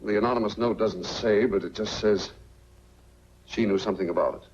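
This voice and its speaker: An actor playing a fair-minded, cautious small-town police chief in a 1940s film, folksy and deliberate. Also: male voice, fairly low pitch, darkish tone, breathy edge. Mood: reluctant. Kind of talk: 1940s film noir acting. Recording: dull, faintly noisy 1940s film soundtrack.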